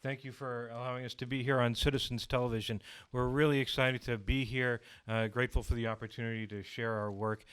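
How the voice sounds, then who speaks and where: A man speaking as an interview guest, grateful and halting, often repeating words as he goes. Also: medium pitch, level tone, fairly bright tone, smooth. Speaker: guest, radio-drama creator and writer. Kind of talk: interview talk.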